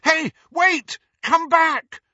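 A man's voice: high-pitched, moderate pace, bright, forceful tone, husky, no room sound, lo-fi sound, voice pushed up, exaggerated voice. A man voicing a desperate teenage boy student in an audiobook, urgent, fierce and pleading. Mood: calling out. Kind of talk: audiobook character voice.

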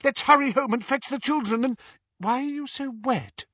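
A man's voice: extremely high pitch, moderate pace, sharp tone, slightly rough, dry recording, recorded from a distance, muffled recording, pitched above the performer's own voice, exaggerated voice. A man voicing a frightened witch and wife in an audiobook, pleading breathlessly in a strained voice. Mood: urgent pleading. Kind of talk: acted audiobook dialogue.

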